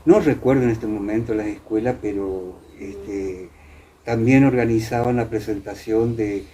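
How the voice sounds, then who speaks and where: An older Argentine man recalls the past as an interview guest, in an Entre Ríos accent. He talks haltingly, with a warm, dark tone at a middling pitch. There is noise behind his voice. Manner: hesitant, pausing often. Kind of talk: reminiscing in conversation. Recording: clear background noise.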